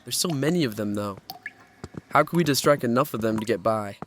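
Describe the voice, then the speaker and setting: A man's voice: mid-range voice, lively intonation, a little fast, noisy background, natural voice. A man playing an anxious teenage boy lost in a nightmare world, in a horror audio drama. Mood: alarmed. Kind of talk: horror audio drama acting and first-person narration.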